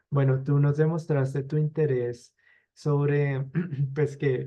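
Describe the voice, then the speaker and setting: A man, a university teacher, giving academic feedback on a student's project with many fillers and pauses. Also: medium pitch, mellow, muffled recording.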